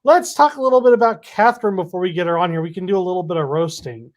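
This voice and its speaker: A man's voice: high-pitched, quick pace. A man, hosting a casual chat and teasing his co-host. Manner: easygoing, teasing.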